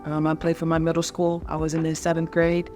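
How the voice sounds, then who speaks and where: A woman in her thirties from North Carolina, a basketball coach, telling her life story in a relaxed way with many ums. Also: low voice, brisk, music in the background. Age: 30s. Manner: relaxed, conversational with frequent ums. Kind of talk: personal story told to camera.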